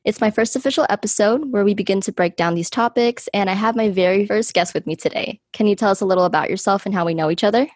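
A woman's voice: medium pitch, quick pace, few pauses, no echo, studio quality. A woman in her twenties from the United States, hosting a casual podcast chat, talking loosely with many ums and likes. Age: 20s. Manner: chatty, many ums and likes, thinking aloud. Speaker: podcast host.

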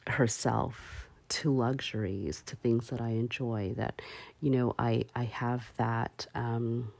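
A woman hosting a solo talk show, speaking slowly and haltingly with many fillers. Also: very deep voice, fairly even intonation, vocal fry, darkish tone, no echo. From parents from Barbados. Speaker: podcast host.

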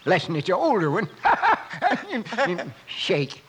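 A man's voice: high-pitched, wildly swooping pitch, drawn-out words, crowd noise, heavily acted. A man playing a stubborn old rancher in a radio western, blustery and stammering, with a rustic American Western accent. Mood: hearty, chuckling. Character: stubborn, crusty old male rancher, feuding clan head. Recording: noisy vintage radio transcription.

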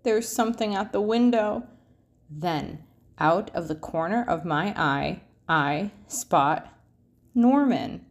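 A woman reading a children's picture book aloud expressively, with drawn-out phrase endings. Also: on the low side, lively intonation.

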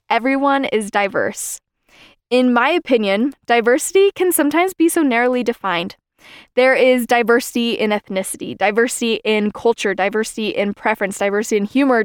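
A young woman hosting a podcast, giving an earnest, measured scripted talk on faith and belonging. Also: on the high side, smooth, no room sound, clean studio sound. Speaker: podcast host.

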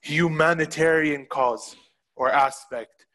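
A man's voice: fairly high pitch, steady tone, takes it slowly, fairly bright tone. A man, an Arabic speaker, hosting a podcast in an impassioned, casual way. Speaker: podcast host.

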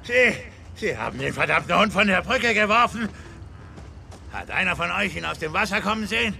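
High-pitched, erratic, menacing gang leader. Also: male voice, lively intonation, normal speed, vocal fry, pushed and bright, slight background noise, full character voice. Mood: furious. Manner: ranting, erratic, threatening. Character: volatile, menacing male gang leader hunting a dog. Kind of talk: dubbed TV series dialogue.

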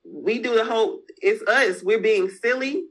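A woman's voice: a little high, a little slow, husky, poor audio. Woman, frank interview guest, casual slangy delivery. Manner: candid, frank, uses slang and mild cursing. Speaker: entrepreneur guest.

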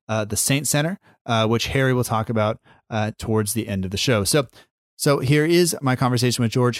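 A man's voice: mid-range voice, no echo, studio quality. A man hosting a podcast, talking in an easygoing, loosely phrased way. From United States. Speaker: podcast host. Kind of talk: casual podcast chat.

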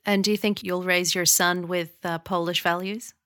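A woman interviewer asking calm, inquisitive questions and narrating between answers. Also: lowish voice, moderate pace, no room sound.

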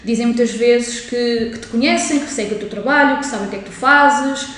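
A woman's voice: on the high side, fairly quick, a bit bright. A woman, a psychologist hosting a podcast interview in a friendly way. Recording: echoey room.

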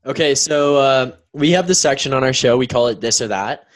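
A man hosts a casual podcast and asks questions in a loose, hesitant way. His voice sits at a medium pitch, with nothing unusual about it. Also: fairly quick. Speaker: podcast host.

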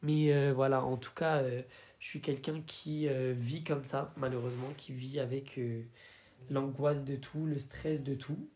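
A man's voice: medium pitch, steady tone, a little slow, darkish tone. A tired man from Paris hosting a casual, confiding solo podcast, speaking informally with slang and a hesitant delivery. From Paris, France. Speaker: podcast host.

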